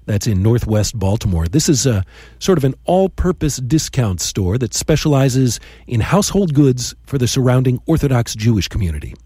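A man narrates a podcast segment in a warm, slightly breathy voice. His pitch rises and falls freely as he tells the story. The recording is dry and close, with no room echo. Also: normal speed. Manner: lively, storytelling delivery. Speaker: podcast host. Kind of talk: scripted narration and interviewing.

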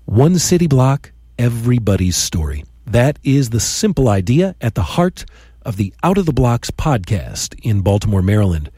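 A man hosting a podcast, narrating a scripted introduction with a lively storytelling delivery. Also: mid-range voice, normal speed, breathy edge, no echo, clean studio sound. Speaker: podcast host.

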